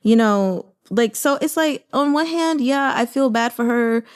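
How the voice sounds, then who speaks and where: A woman's voice: a little high, a little slow, clear, resonant tone. A woman chatting casually as the host of a reading channel, conversational and emphatic, speaking her opinions plainly. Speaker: audiobook reader and channel host. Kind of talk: casual reaction chat and announcements.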